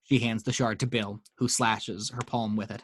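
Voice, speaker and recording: A man's voice: fairly low pitch, flat delivery, moderate pace, fairly bright tone, slightly rough. A man reading action descriptions as narrator in a dramatic screenplay table read. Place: at home.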